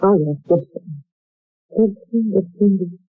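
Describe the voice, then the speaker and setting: A woman's voice: medium pitch, measured pace, slightly rough, dry recording, soft background music. An actress playing a proper, hungry young woman looking for work, in a 1940s radio drama, on a tinny old broadcast recording. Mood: earnest. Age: young adult.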